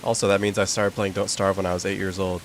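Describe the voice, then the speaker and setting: A young man with a slightly low voice chats loosely while he plays, rambling and dropping in plenty of filler words. A steady hiss sits clearly behind him. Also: quick pace. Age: young adult. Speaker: gaming streamer. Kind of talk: casual chat while playing a game.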